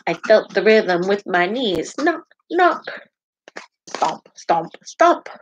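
A middle-aged woman teacher reading a picture book aloud to children, with deliberate sing-song emphasis on repeated words. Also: mid-range voice, very animated, a little hiss or hum, low-quality recording. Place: home kitchen, indoors.

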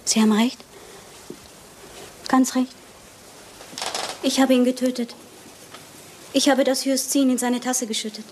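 A woman's voice: high-pitched, fairly even intonation, unhurried, pauses often, slight background noise. An actress playing a despairing, secretive lady of the house in a German radio crime drama, earnest and dramatic. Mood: despairing, resolute.

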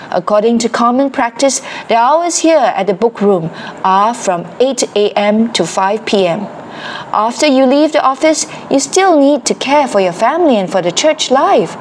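A woman reading a ministry passage aloud in a slow, earnest, deliberate way. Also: fairly high pitch, darkish tone, slight background noise. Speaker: reader of a ministry excerpt.

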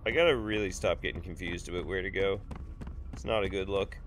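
A man's voice: sits low, steady tone, a little fast, fairly bright tone, a little hiss or hum. A man in his thirties with a sick, nasal voice from blocked sinuses, rambling and joking through casual game commentary at a home desk. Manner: rambling, jokey, swears freely. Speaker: gaming YouTuber. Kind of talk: casual let's play commentary.

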